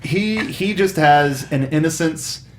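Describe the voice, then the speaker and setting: A man hosting a casual film review chat, animated and enthusiastic with halting phrasing. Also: on the high side. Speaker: talk show host. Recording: clear background noise.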